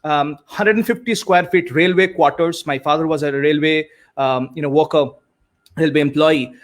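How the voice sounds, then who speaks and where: A man with an Indian accent whose first language is Bengali, telling his life story as a guest with earnest warmth and frequent "you know" fillers. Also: a little high, fairly even intonation, normal speed. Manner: earnest, many fillers and repeated starts. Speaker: guest speaker and coach. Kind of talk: conversational storytelling.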